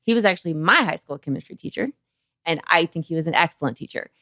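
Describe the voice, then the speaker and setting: A woman's voice: sits low, lively intonation, fast, bright, forceful tone, dry recording, studio quality. A woman hosting a podcast as a doctor, talking in a chatty, informal interview style. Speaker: podcast host, OB-GYN doctor.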